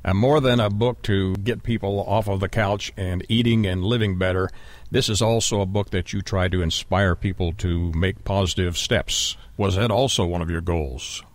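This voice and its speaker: A man hosting an interview show, asking questions in a measured, courteous way. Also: deep voice, fairly even intonation, dry recording. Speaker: radio show host.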